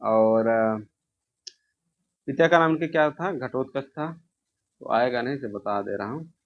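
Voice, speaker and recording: A man's voice: medium pitch, measured pace. A man teaching an informal exam review, asking questions and answering them himself, repeating key answers. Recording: dull, lo-fi sound.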